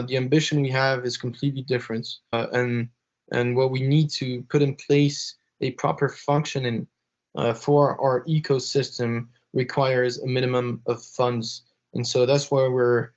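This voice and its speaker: A man's voice: mid-range voice, flat delivery, a little slow. Young guy, half french half lebanese, lots of uh and restarts, kinda muffled audio. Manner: hesitant, many fillers and restarts. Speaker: interview guest, blockchain executive. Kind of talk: casual interview answers. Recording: slightly dull podcast audio.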